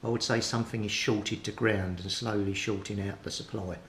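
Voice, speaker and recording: A man's voice: fairly even intonation, moderate pace, a bit bright. A man giving an unscripted, methodical repair walkthrough as a hobbyist, thinking aloud as he works. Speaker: hobbyist radio repairer presenting his progress. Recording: roomy echo, clear rumbling background noise.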